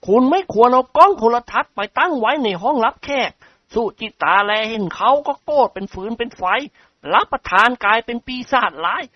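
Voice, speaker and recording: A reader voicing a timid, panicky male servant who stammers in a high squeal. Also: lively intonation, a little fast, no echo, highs cut off, natural voice.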